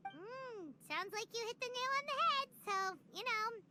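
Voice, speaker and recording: A woman voicing a tiny, excitable female fairy companion who talks about herself in the third person, over game music. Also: very high voice, moderate pace, very noisy. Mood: thoughtful.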